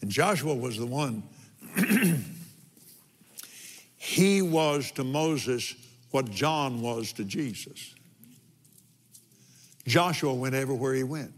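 A man of middle pitch preaches in a relaxed, drawling way and chuckles between points. His voice carries a long reverb, as if he is speaking in a church sanctuary. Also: takes it slowly, pauses often. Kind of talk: folksy sermon with scripture reading.

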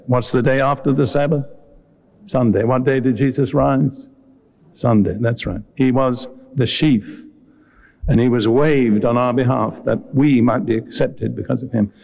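Male preacher, mellow dark tone, mid pitch, earnest, deliberate, direct sermon delivery. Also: creaky, poor audio. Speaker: visiting preacher. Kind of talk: sermon with Bible teaching and altar call. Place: live before a congregation at a meeting.

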